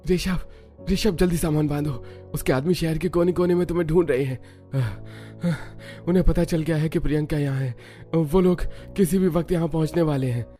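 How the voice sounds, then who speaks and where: A man's voice: high-pitched, normal speed, darkish tone, close to an everyday voice. Male actor as earnest young fugitive: protective, urgent, melodramatic audio-story delivery over faint music. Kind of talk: dramatized Hindi audio story acting.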